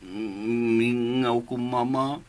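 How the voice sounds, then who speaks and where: Halting, high-voiced childlike grown man. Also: pushed and bright, exaggerated voice. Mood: wistful. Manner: halting, broken baby talk with hums and stammers. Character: childlike, slow-witted grown man, stubbornly clinging to his doll. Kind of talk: radio drama acting.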